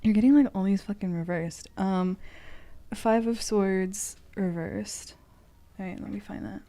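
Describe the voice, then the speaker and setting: A woman's voice: mid-range voice, measured pace, dark tone. A woman giving tarot readings on a stream in a playful, casually profane way, moving between loose chat and reading aloud.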